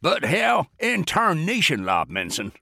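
A man voicing a bellowing, blustering retired American major general in a comic story, with a gravelly roar, an American accent and bossy, folksy exclamations. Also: medium pitch, sing-song, takes it slowly, pushed and bright, no echo, clean studio sound, pitched above the performer's own voice, heavily acted. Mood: exasperated roar. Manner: folksy old-fashioned exclamations, exasperated, bossy drawl.

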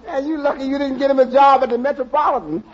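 An actor playing a meek, fussy male waiter with a raspy voice in a 1940s radio comedy. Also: very high-pitched, steady tone, quick pace, a faint crowd, a little hiss or hum. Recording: lo-fi old radio broadcast.

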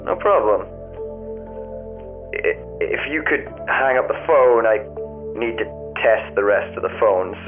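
An actor playing a hesitant, wry and affable phone tester in an audio drama, talking in a halting, bemused way through a telephone filter. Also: male voice, fairly low pitch, animated. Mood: obliging, polite. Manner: halting, vague, literal-minded, politely bemused. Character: hesitant, affable, wry man who tests the tower phones. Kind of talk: naturalistic audio drama dialogue. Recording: thin phone-line sound, music underneath.

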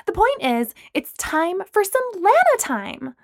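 A woman's voice: very high voice, very animated, takes it slowly, no echo, studio quality, pitched above the performer's own voice, exaggerated voice. A woman voicing a bubbly, boy-crazy young woman who talks in a breezy, shameless way. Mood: giddy.

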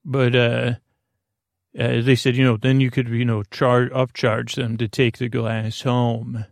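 Creaky voiced guy doing a meandering silly sleep podcast, halting, loses his thread, super dry close recording. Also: medium pitch, little pitch movement, dry recording. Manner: hesitant, meandering, trails off mid-thought. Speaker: podcast host. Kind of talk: rambling, silly bedtime monologue.

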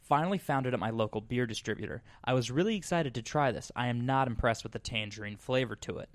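A man's voice: medium pitch, quick pace, dry recording. A man hosting a casual podcast, playfully teasing his guest while reading beer reviews aloud. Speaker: podcast host.

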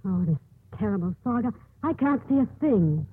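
An actress playing an earnest, gently probing nun in a 1950s radio drama. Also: female voice, mid-range voice, expressive, normal speed. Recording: muffled, husky-sounding 1950s radio recording.

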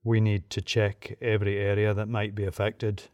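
A man's voice: on the low side, completely flat delivery, moderate pace, a little mellow, no room sound, studio quality, pitched below the performer's own voice, lightly acted. A man voicing a formal, cautious male security guard in an audio story, with a subdued and polite official manner.

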